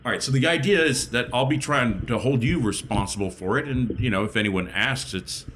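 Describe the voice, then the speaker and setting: A man's voice: mid-range voice, brisk, fairly bright tone. A man chatting casually as a player in a tabletop role-playing game. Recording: faint background noise.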